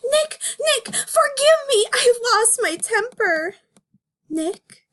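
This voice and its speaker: Casting: woman as young female rabbit officer, high-voiced, slow, pleading and defiant, amateur comic melodrama. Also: sing-song, fairly bright tone, exaggerated voice. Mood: tearful pleading. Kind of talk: amateur dramatic comic reading.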